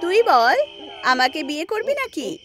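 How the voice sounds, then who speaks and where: A woman voicing a bold young village woman, brash and teasing, in an animated story. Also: high voice, unhurried, crowd noise, pitched above the performer's own voice.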